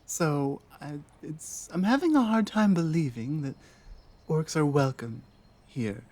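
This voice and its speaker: Female orc outcast, acted by a woman, unhurried pace, halting and stammering, wary, timid, ASMR roleplay. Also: low-pitched, animated, a little mellow, heavily acted. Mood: wary, defensive. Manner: hesitant, halting, with many stammered restarts. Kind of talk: roleplay audio drama, ASMR.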